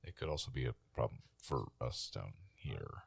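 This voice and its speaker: Very deep, gravelly-voiced man. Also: lively intonation, moderate pace. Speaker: tabletop game player. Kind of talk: casual game chat.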